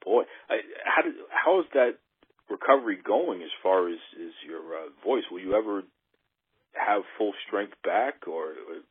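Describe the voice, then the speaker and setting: Deep, husky male radio host. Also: lively intonation, a little slow, dry recording. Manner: earnest, emphatic, repeats himself. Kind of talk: radio interview. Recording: dry, phone-like radio sound.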